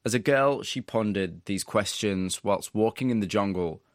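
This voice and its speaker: A man's voice: lowish voice, normal speed, no echo, clean studio sound. A man hosting, moving between scripted narration and interview questions.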